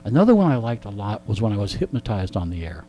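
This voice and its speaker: A man telling jokey anecdotes in conversation as a radio interviewee, with deadpan punchlines and repeated phrases for effect. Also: fairly low pitch, fast. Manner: jokey, deadpan storytelling with rhetorical repetition. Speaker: radio host being interviewed. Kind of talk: animated comic anecdotes in conversation.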